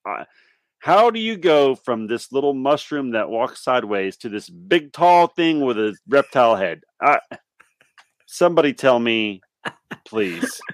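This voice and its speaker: A man's voice: medium pitch, very animated, measured pace, dry recording. A man in his forties chatting casually as a podcast guest, telling personal anecdotes. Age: 40s. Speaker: podcast guest playing a guessing game.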